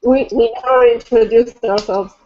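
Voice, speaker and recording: A woman's voice: on the high side, fairly even intonation, moderate pace, dull audio. A woman co-hosting a casual, informal book chat, often repeating words as she talks, on livestream audio. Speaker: co-host of a book discussion livestream.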